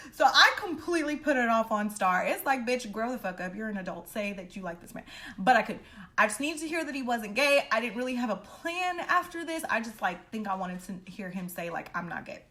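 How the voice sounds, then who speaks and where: A young woman with a bright, forceful, fairly high voice tells a casual personal story. She is blunt and slangy, swears freely, and acts out the dialogue dramatically as she goes. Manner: animated, dramatic re-enacting of dialogue. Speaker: storytelling vlogger.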